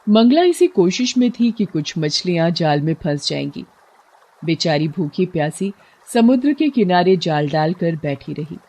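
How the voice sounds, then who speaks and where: A woman's voice: fairly low pitch, big pitch swings, unhurried, mellow. A woman narrating a cartoon moral story in a dramatic, emphatic way.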